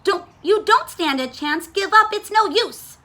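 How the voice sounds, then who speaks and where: A greedy boy squirrel from a children's storybook, as a woman reader puts him on. The voice is high and forceful. Each line comes out as a dramatic, emphatic exclamation. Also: big pitch swings, a little slow, full character voice. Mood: defiant, bossy.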